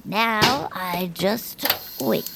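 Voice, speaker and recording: An actress playing a chirpy, oblivious, intrusive best friend who chatters in a breezy, folksy way in an audio drama. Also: female voice, medium pitch, extremely slow, heavy background noise. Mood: busy, upbeat. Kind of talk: audio fiction acting.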